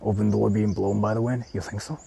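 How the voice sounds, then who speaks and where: A young man, walking around outdoors and chatting casually with livestream viewers, often speaking in a hushed, murmuring voice. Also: fairly low pitch, fairly even intonation, fairly quick, creaky, slightly rough. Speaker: vlogger streaming while exploring. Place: outdoors walking through an empty housing estate.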